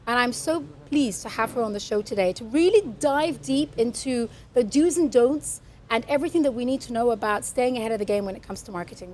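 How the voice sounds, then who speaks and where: A woman's voice: fairly high pitch, darkish tone, a little hiss or hum. A woman presenting as a podcast host in an effusive, gushing manner. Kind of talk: upbeat show presenting. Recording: music behind the voice. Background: music.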